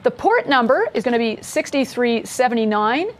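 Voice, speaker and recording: A woman's voice: on the high side, expressive, normal speed. A woman casually walking through code as an instructor, chatty and upbeat, laughing along with her co-host. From mother from Scotland. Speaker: coding instructor. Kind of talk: casual screen-share coding lesson.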